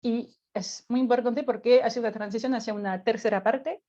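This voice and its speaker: A woman's voice: on the high side, fairly even intonation, brisk, warm tone, dull audio. A woman from France speaking with a French accent, patiently explaining a climate workshop as a radio guest, with many filler words and light laughs.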